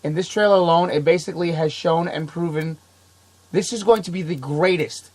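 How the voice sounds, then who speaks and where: A man hosting a casual podcast, sick and coughing, talking in an excitable, rambling way and laughing often. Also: fairly high pitch, brisk. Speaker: podcast host. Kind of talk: casual chat.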